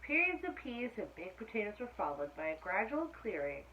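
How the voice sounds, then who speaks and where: Female storytime reader, mid-pitched, expressive, engages young listeners; dull lo-fi recording with faint noise. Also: a little fast. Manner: expressive, asks listeners questions. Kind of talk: read-aloud of a children's picture book with asides to listeners. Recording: muffled lo-fi sound with faint noise.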